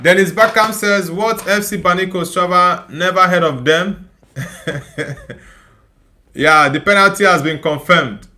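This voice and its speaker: A man's voice: high-pitched, unhurried, long pauses, bright, forceful tone. A young man, hosting a live football watchalong, chatting casually and laughing while he reads viewer comments. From Nigeria. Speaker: watchalong streamer. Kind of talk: casual live match reaction and chat reading.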